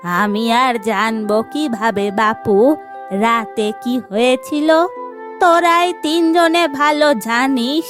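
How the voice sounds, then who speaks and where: A homely old cartoon grandmother, played by a woman, talks very slowly and plainly in a slightly high voice. Music plays clearly behind her. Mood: mildly teasing. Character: homely old village grandmother cooking for children. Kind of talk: cartoon voice acting.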